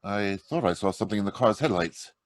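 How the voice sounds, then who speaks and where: Confident hero detective, played by a man, lower register, smooth, dry close sound. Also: expressive, fast talker, no room sound, close to an everyday voice. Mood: determined. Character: confident male hero detective with a secret identity. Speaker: radio drama actor. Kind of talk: old-time radio drama reading. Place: home, over a video call.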